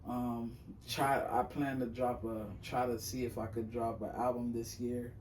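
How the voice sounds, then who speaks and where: A young man who raps and sings, talking casually on a podcast in a loose, rambling way, full of slang and fillers. His voice is on the high side. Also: level tone, measured pace, darkish tone, distant mic, slight background noise. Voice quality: high-pitched voice. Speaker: rapper and singer guest.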